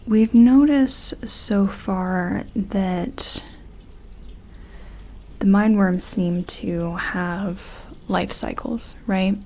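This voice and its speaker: Female ASMR roleplayer as a gentle, careful sci-fi examiner: unhurried, mellow, half-whispered, reassuring, with faint noise behind. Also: mid-range voice. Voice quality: soft, breathy, often dropping to a whisper. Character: gentle, careful woman scientist examining an alien.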